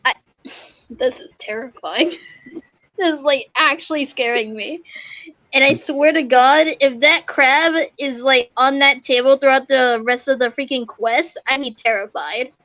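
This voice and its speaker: A woman's voice: high-pitched, unhurried, sharp tone, no room sound, highs cut off. A young woman giving casual, slangy, reactive commentary while playing a game. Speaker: gaming streamer.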